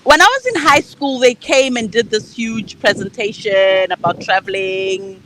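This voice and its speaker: A woman's voice: fairly high pitch, measured pace, poor audio. A woman in her thirties from South Africa with a South African accent, candidly telling her story as an interview guest. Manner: bright, candid, repeats words.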